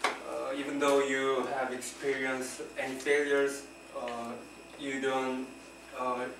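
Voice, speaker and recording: A man's voice: medium pitch, flat delivery, slow pace, vocal fry. A young man with a Korean accent presents vocabulary to his class, hesitant and nervous as he speaks. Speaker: student presenter. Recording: roomy, distant, noisy classroom.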